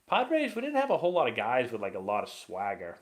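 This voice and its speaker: A young man hosting a live baseball stream, chatting casually and rambling excitably as he answers viewer questions. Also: medium pitch, brisk. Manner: rambling and excitable, many fillers and restarts.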